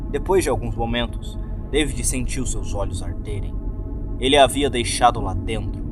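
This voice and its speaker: A man with a Brazilian accent reading a scripted horror story as narrator. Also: lowish voice. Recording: loud music under the voice. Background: loud background music.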